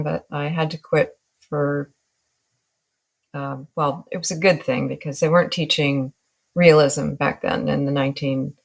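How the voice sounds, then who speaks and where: A woman's voice: sits low, low-quality recording. A woman in her sixties from Northern California, a guest artist in an interview, talking in a reflective, wry way and laughing at herself. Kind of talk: relaxed interview talk.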